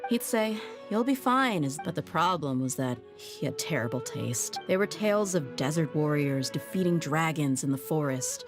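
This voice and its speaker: A female desert mercenary who leads her own band in a video game. Her voice is low for a woman, with a confident, easygoing and frank delivery. Faint music plays behind her. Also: expressive, normal speed, hardly any pauses. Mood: wistful, easygoing.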